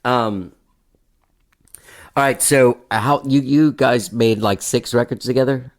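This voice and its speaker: A man hosting a laid-back interview podcast. His voice sits at an average pitch, and he chimes in with short encouraging replies and loosely phrased questions. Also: takes it slowly. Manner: easygoing, short encouraging responses. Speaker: podcast host. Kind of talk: casual interview conversation.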